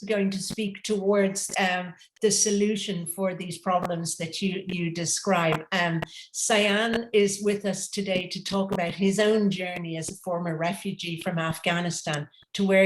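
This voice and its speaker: A woman's voice comes through with clear room echo, pitched a little low. She is moderating, giving a formal introduction to a speaker. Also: slight background noise.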